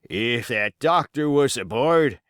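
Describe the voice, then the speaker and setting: Man acting wounded pirate sailor, sly, rough, wheedling, folksy drawl, swinging pitch, adventure storybook. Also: expressive, takes it slowly, fairly bright tone, no room sound, clean studio sound. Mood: gruff, complaining. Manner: drawled, folksy sailor speech with rustic grammar.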